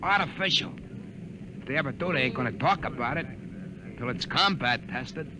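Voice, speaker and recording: A man's voice: medium pitch, expressive, normal speed, close to an everyday voice. A man playing a gossipy, griping soldier in a 1950s radio drama, slangy and wisecracking. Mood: conspiratorial gossip. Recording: noisy old radio recording.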